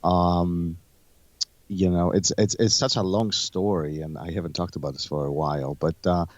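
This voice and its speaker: A middle-aged man from Poland, a jiu-jitsu instructor, answering interview questions in a measured, hesitant way. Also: low voice, fairly even intonation, dry recording. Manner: measured, hesitant, many fillers and restarts. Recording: dull lo-fi sound.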